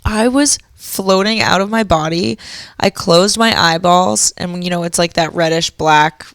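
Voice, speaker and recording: A woman's voice: on the low side, measured pace, no room sound. A woman from Boston co-hosting a casual comedy podcast, telling rambling stories and giggling as she goes. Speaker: podcast co-host. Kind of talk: casual stoner comedy chat.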